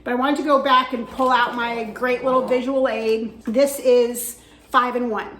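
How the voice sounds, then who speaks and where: A woman's voice: fairly high pitch, little pitch movement, measured pace, fairly bright tone. A woman vlogging about her weight-loss journey, chatty with frequent fillers, her voice weak from recovering from the flu. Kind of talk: casual instructional vlog. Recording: echoey room.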